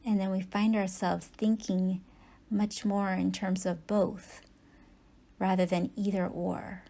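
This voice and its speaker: A woman teaches guided meditation at a very slow pace, leaving long pauses between short phrases. Her voice is mellow and relaxed, with a soft, husky breathiness. She gives gentle instructions without hurry. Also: mid-range voice.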